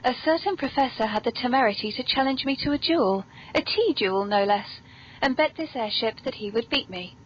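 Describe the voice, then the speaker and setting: High-voiced, genteel British woman hosting. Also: animated, a little fast. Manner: genteel, playfully theatrical, polite. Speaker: podcast host. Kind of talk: whimsical scripted hosting and genteel chat. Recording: dull lo-fi recording, background noise.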